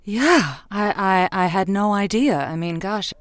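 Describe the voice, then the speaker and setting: A woman's voice: mid-range voice, animated, measured pace, no echo, clean studio sound, natural voice. A woman playing an earnest, sympathetic radio call-in host in a comedy, speaking with some hesitation. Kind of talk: improvised comedy radio show acting.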